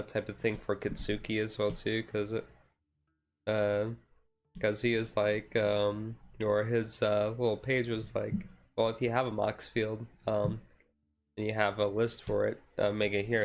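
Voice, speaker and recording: A man streamer chatting casually and rambling in a self-deprecating way as he talks to his live viewers. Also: on the low side. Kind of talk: casual live-stream chat while sorting cards.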